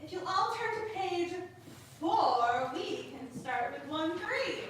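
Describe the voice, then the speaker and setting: In a noisy, very reverberant room, a giggly troupe member acted by a woman talks in a very high, lively voice. She is slow and halting, easily embarrassed, in a live stage reading. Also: full character voice. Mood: giggly, incredulous. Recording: very echoey, noisy room from far off.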